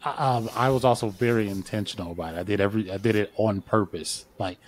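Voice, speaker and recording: A man's voice: fairly low pitch, fast. A man in his thirties, a podcast guest on a video call, explaining his views at length in a casual, thoughtful way. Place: home studio, indoors. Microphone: large studio mic on video call.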